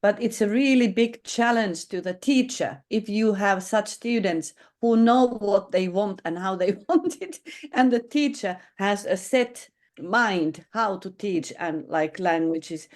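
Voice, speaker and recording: A woman taking part in a discussion on language learning, chatty and quick to agree. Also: medium pitch, a little mellow. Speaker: teacher or educator. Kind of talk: conversational discussion.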